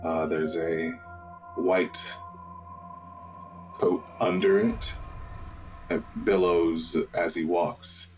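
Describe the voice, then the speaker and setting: A man playing a tabletop roleplay game, describing his character's story in short, measured, solemn phrases with long pauses. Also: deep voice, flat delivery. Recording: music under the voice. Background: background music.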